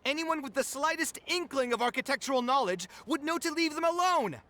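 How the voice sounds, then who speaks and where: Proud touchy young architect from a game, high bright voice that swings all over, exasperated. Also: male voice, lively intonation, a little fast, exaggerated voice. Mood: indignant. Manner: exasperated, indignant. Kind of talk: game voice acting.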